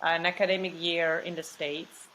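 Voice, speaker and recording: A woman from Mexico with a Mexican Spanish accent, speaking English as a second language as an interview guest, talkative and animated, often repeating words and saying "like". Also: lowish voice, moderate pace, slight background noise, low-quality recording. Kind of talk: casual interview.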